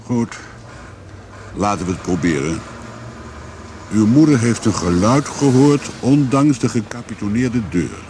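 An actor playing a stern, persistent police commissioner in a radio drama, with a measured, probing, formal manner. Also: male voice, on the low side, animated, darkish tone. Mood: calmly insistent. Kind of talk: Dutch radio drama acting. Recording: faint background noise.

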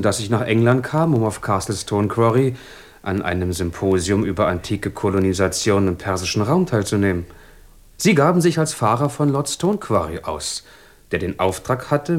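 A man's voice: fairly low pitch, expressive, normal speed. A man playing a cultured, courteous scholar as a witness in a German radio crime drama, articulate and earnest in standard German. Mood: earnest, reproachful. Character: cultured, courteous male scholar giving evidence as victim.

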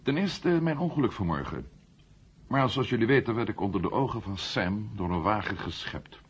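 A man's voice: on the low side, animated, normal speed, husky. An actor playing a confident, provocative former astronaut who argues his case point by point in a radio drama. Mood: insistent. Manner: argumentative, rhetorical, building his case point by point. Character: outspoken ex-astronaut turned maverick writer, male, confident and provocative. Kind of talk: 1970 Dutch radio drama acting. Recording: muffled old radio drama tape.

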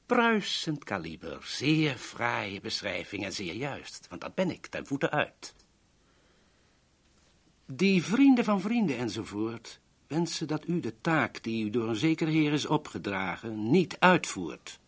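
An oily, self-important go-between in an old radio play. His intonation swoops widely, and his slightly husky voice lays on elaborate courtesy, wheedling in a theatrical, florid way. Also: medium pitch, very animated, unhurried, full character voice. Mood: wounded pride. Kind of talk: 1970s Dutch radio drama acting.

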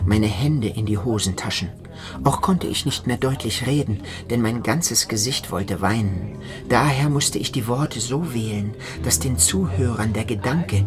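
Male narrator for first-person literary radio drama, music clearly behind. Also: medium pitch.

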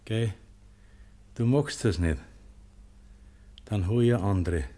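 Gentle low-voiced Bavarian monk. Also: male voice, slow. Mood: patient. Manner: mild, kindly, unassuming. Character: gentle, patient Capuchin lay brother feeding the poor. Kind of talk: German radio drama acting.

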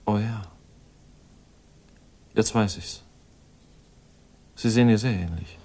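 A suave and condescending man in a 1960s radio crime play. He speaks in a lowish voice with urbane polish and haughty irritation when pressed. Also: takes it slowly, a little mellow. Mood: suave, wary. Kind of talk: 1960s German radio crime drama acting.

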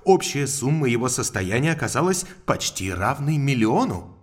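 An actor playing a mild, precise country doctor in an audio drama, explaining formally with small hesitations. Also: male voice, medium pitch, big pitch swings, normal speed, slightly rough. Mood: earnest, explanatory.

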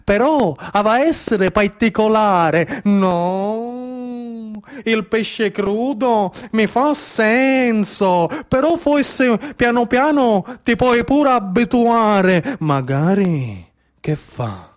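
Man, Sicilian accent, theatrical monologue, very slow deliberate pace, declamatory, incantatory storytelling. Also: sits high, animated. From Sicily, Italy. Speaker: stage storyteller, actor.

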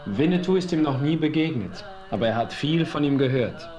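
An actor playing a noble Apache chief in a radio play, speaking calmly and formally. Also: male voice, a little high, fairly quick, natural voice. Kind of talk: 1970s radio play acting.

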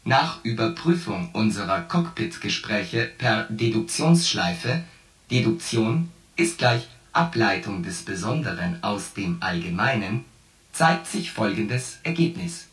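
A roomy, slightly distant voice over music a ship's artificial intelligence in a sci-fi radio play. It speaks slowly and deliberately, laying out cold logic point by pedantic point like a formal accusation. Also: mid-range voice, very animated, fairly bright tone. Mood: coldly analytical. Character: shipboard artificial intelligence, coldly logical, methodically accusing the commander. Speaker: radio drama actor. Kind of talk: science fiction radio play dialogue.

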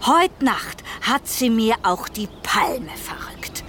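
A woman's voice: fairly high pitch, expressive, slow, a bit bright, whispered. A woman playing a suspicious, aggrieved, obstinate wife in a radio drama, accusing and indignant, with an Austrian accent.

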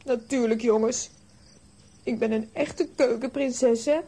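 A woman's voice: a little high, steady tone, measured pace, dark tone, slightly rough. A woman playing a house-proud, easily exasperated aunt in a children's audio play, theatrical and self-satisfied.

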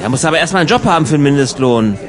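A man's voice: a little high, animated, racing, music in the background. A man in casual banter, talking in a dry, grumbling, argumentative manner. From Germany. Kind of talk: casual banter in an audio collage.

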